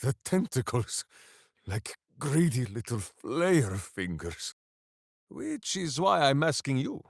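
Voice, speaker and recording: Bombastic, big-hearted warrior, wildly animated. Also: male voice, mid-range voice, slow pace, creaky, dry recording, studio quality, full character voice. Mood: hearty, blustering. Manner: bombastic, theatrical, speaks of himself in third person. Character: hearty, simple-minded, boastful heroic berserker warrior devoted to his hamster. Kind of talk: video game character voice lines.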